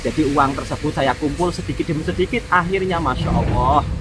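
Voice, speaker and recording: A man from Indonesia chats to his viewers in a friendly, devout vlog style. He speaks at an ordinary middle pitch. Steady outdoor noise and passing vehicles run behind him. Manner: friendly, devout, calls himself by name in third person. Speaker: vlogger. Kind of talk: casual vlog talk to viewers.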